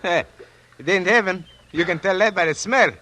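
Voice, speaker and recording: An actor playing a rough but kindly old fisherman in 1940s radio drama, with slangy, folksy talk. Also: sits high, normal speed, sharp tone, slight background noise. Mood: gruff, helpful. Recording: vintage 1940s radio, music behind.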